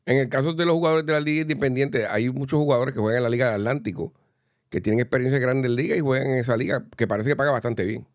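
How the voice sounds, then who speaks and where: A male sports radio host asks questions in a conversational, unhurried way. His voice sits at an ordinary pitch. The recording is dry, as in a studio. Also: dry recording.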